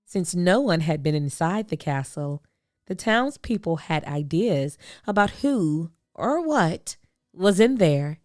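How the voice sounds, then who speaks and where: A woman reading a children's storybook aloud, expressively, with deliberate phrasing and dramatic pauses. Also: fairly low pitch, expressive, darkish tone, no echo, studio quality, soft background music. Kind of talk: expressive picture-book read-aloud.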